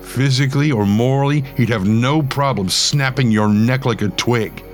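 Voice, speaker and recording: A man voicing a crude, brisk leader of a search party who talks bluntly and in a hurry. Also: medium pitch, faint music behind, close to an everyday voice. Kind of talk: storytelling.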